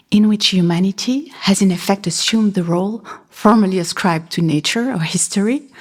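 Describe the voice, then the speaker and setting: A woman giving a formal, deliberate reading of a philosophical passage. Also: mid-range voice, breathy edge. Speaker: reader of a quotation.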